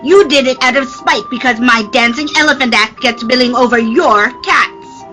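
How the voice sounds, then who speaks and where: A whiny, indignant circus starlet as a woman acts her, very high and piercingly bright. She sounds aggrieved in old 1940s radio play style. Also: normal speed, soft background music. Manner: shrill, aggrieved, taunting. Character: whiny, indignant circus star with a dancing elephant.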